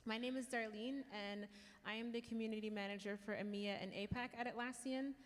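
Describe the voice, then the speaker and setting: A woman's voice: on the high side, fairly even intonation, fairly quick. A woman with an American accent, introducing herself casually as a panelist. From United States. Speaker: community manager on a panel. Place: conference stage in a large hall. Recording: echoey conference hall PA.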